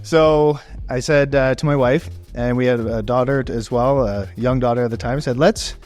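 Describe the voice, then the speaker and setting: A man's voice: mid-range voice, normal speed. Male podcast co-host from Ontario, chatty anecdotal storyteller, mid-range voice, light music bed. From Ontario, Canada. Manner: rambling, animated storyteller with fillers and laughs. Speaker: podcast co-host, real estate agent.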